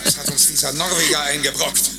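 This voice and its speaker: An actor playing a commanding starship captain in a parody scene of a radio play. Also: male voice, a little high, little pitch movement, fairly quick, gravelly. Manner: theatrical, commanding. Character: space-captain in a crossword daydream. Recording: radio play over loud music.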